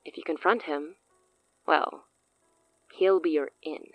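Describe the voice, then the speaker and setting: An actress playing a cunning, self-assured sorceress in an audio drama, speaking in a theatrical, silky, condescending way. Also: female voice, low-pitched, fairly even intonation, slow pace, no echo, highs cut off, faint music behind. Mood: poised, persuasive. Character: cunning, self-assured woman sorceress offering a dangerous pact.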